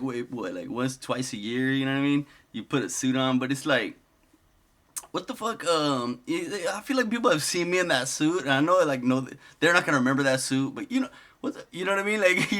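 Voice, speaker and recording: A man's voice: mid-range voice, pushed and bright. A man giving loose, profane comedic commentary over clips, wandering off-topic and laughing at his own jokes. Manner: profane, digressive, laughs often. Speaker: commentary host.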